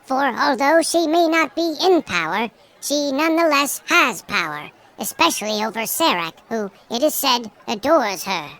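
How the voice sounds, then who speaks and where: A sage little elder mayor played by a woman in a fantasy audio drama, with a fairly high voice. The speech is formal, measured and eloquent, with the air of a grandparent. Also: animated, a bit bright. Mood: grave, measured.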